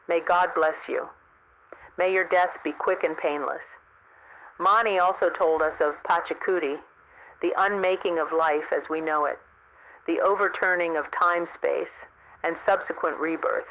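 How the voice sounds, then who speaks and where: A man's voice: a little high, sharp tone. A man playing a somber field reporter in a radio drama, relaying solemn stories over a radio link. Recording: tinny noisy radio link.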